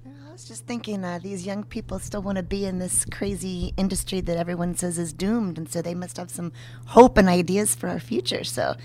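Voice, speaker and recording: A woman reporter talks playfully and easily. A clear hum runs behind her voice in the recording. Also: on the low side, normal speed, mellow. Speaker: newspaper reporter and podcast regular.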